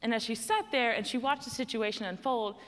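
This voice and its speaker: A woman's voice: a little high, a little fast, fairly bright tone, long reverb tail. Texan young woman giving a stage speech. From Texas. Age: young adult. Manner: storytelling, conversational, emphatic. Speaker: student panel speaker. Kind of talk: prepared personal speech. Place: stage in a large hall.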